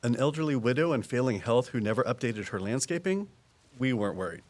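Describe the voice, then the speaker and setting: An actor plays a folksy small-town conservation man in an audio drama. His voice is medium in pitch, and he explains things patiently in an easygoing, down-to-earth way. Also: lively intonation, a little fast, a little mellow, lightly acted. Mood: matter-of-fact.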